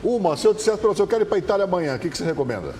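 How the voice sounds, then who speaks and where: A man in his forties hosting an interview, firing off quick questions and repeating details for listeners. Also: sits high, big pitch swings, a loud crowd. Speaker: interviewer host. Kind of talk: casual interview.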